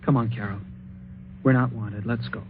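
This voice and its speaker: Vintage radio husband, played by a man: young, plainspoken, reluctant, medium pitch, very noisy dull recording. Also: fairly even intonation, moderate pace, close to an everyday voice. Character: reluctant, easygoing young husband tagging along. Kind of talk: 1950s radio drama acting.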